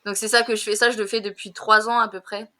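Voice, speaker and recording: A young woman tutoring French on a video call. She talks fast, laughs a lot and fills her speech with hesitations. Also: medium pitch, little pitch movement, pushed and bright. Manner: fast-talking, giggly, many fillers. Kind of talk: casual conversation lesson.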